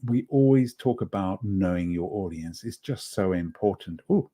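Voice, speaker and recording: A man with a slight South African accent teaches a live online class in a low, mellow, husky voice. He is encouraging and praises every contribution. He wanders in long sentences and often restarts them. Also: expressive, normal speed. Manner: encouraging, praises each participant's chat comment; rambling, with many restarts. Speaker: online course presenter.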